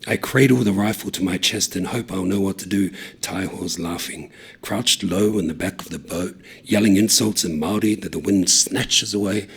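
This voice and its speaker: Male story reader, husky and breathy, lowish, steady narration. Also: normal speed. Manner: steady, low-key narration. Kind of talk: short story reading.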